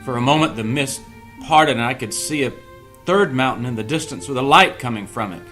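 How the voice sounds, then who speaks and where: A man acting a gentle, bewildered old man in an audio drama reading, hesitant, earnest and full of wonder. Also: medium pitch, brisk, faint music behind, lightly acted. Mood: wondering, insistent.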